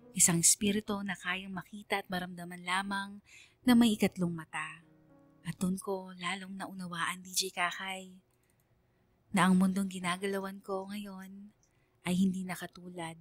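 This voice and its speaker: A woman's voice: medium pitch, dry recording, close to an everyday voice. A woman voicing a troubled but resolute young letter sender, narrating in the first person with reflective, dramatic earnestness.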